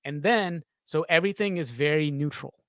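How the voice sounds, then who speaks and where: A man in his thirties, a sound designer, explaining things step by step in a halting, thoughtful way during an interview. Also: on the high side, fairly bright tone, dry recording, clean studio sound. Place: small home studio with acoustic panels. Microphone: close studio microphone on boom arm, headphones.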